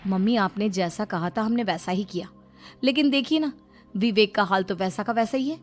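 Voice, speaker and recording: A woman voicing young married daughters who grumble in a whiny, animated way about lazy husbands, in a cartoon story. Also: mid-range voice, moderate pace, faint music behind, close to an everyday voice. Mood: whiny complaining.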